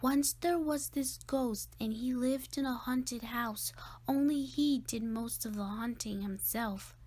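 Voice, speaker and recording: A woman's voice: a little high, a little mellow. A woman voicing a young boy telling spooky tales in a storybook read-aloud.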